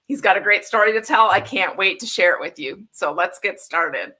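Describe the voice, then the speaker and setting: A woman from Wisconsin hosts a casual interview, chatty and quick to laugh. Her voice is bright and clear. She keeps the talk light and friendly. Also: mid-range voice, animated, rough recording. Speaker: host.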